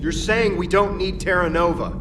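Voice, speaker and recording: A man's voice: high-pitched, steady tone, moderate pace, close to an everyday voice. An actor playing a loyal, indignant military crewman in a video game, earnest and accusing. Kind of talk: video game character dialogue. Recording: clear ambient background noise.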